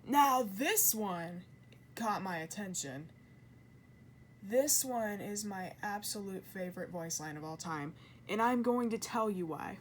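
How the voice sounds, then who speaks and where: A woman's voice: medium pitch, expressive, a little slow, slight background noise. A woman giving informal explainer commentary in an earnest, conversational way, often correcting herself. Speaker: video creator and commentator.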